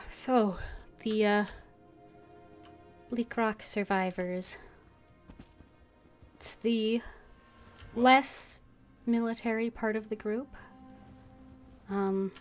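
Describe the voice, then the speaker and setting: A woman giving casual gameplay commentary, halting as she thinks aloud. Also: medium pitch. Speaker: game streamer.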